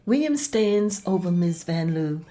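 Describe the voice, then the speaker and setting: A woman's voice: lowish voice, animated, a little slow, dark tone, soft background music. A woman reading stage directions aloud as the narrator of a table read.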